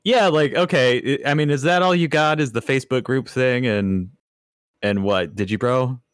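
A man's voice: mid-range voice, normal speed, clean tone, no echo. A man hosting a casual podcast, probing his guest with wry questions and frequent laughs. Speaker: podcast host.

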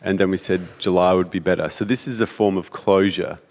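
Encouraging man with a lowish voice, church echo. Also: fairly even intonation, fairly quick, a little mellow. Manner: encouraging, affirming with frequent yeahs. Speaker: church interviewer. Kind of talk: church interview. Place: church sanctuary.

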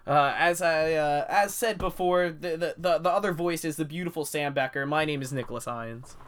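A man's voice: a little high, normal speed, fairly bright tone. A young adult man co-hosting a podcast, nasal and congested from a cold, chatting casually and laughing often. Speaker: podcast co-host. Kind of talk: casual chat.

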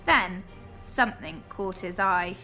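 A woman reading a children's storybook as an expressive storyteller. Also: mid-range voice, takes it slowly. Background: music.

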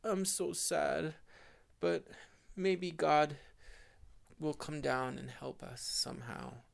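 A poor old husband in a bedtime tale, gentle and mournful, clinging to a little hope, as a man puts him on. The voice sits well above the teller's own, with a gravelly rasp. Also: on the high side, slow pace, pitched above the performer's own voice, exaggerated voice. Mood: sad. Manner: mournful, hopeful.

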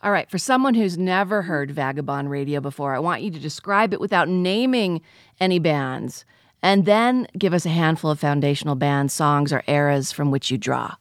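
Low-voiced woman asking questions. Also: normal speed, no room sound, studio quality. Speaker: interviewer. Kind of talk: scripted interview questions.

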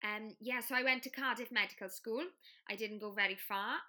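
A woman's voice: medium pitch, steady tone, a little fast, pushed and bright. A young woman with a Welsh accent, a trainee children's doctor, chatting as a guest and telling stories with plenty of fillers. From Wales. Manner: friendly, chatty storyteller with many fillers. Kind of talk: casual interview chat.

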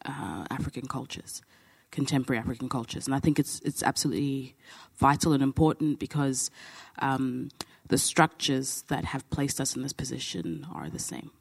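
Gravelly young man, earnest. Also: medium pitch, expressive, normal speed, few pauses. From Zimbabwe. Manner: earnest, searching, restarts his thoughts. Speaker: audience member asking a question. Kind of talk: audience question at a post-show talk.

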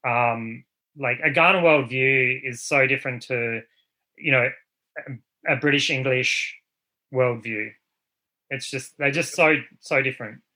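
A man from Australia talks through his experiences in an easy, unscripted way. His voice is bright and forward. He pauses often with fillers and starts some words over. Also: mid-range voice, rough recording. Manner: thoughtful, with frequent fillers and restarts. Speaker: artist guest. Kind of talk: casual panel talk.

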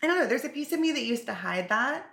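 A woman's voice: high voice, lively intonation, quick pace, creaky, a bit bright. A woman co-hosting a podcast, talking candidly and loosely, with lots of "like" fillers and casual swearing. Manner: candid, rambling, heavy on "like" and swearing. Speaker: podcast co-host.

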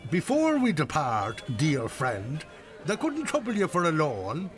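An actor playing a wheedling, thankful old Irish barfly in a radio drama. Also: male voice, a little high, huge pitch swings, unhurried, faint music behind. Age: older.

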